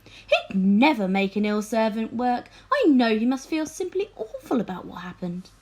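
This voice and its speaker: A woman's voice: a little high, sing-song, normal speed. A woman voicing a loyal, trusting pastry chef in a storybook, earnest and insistent.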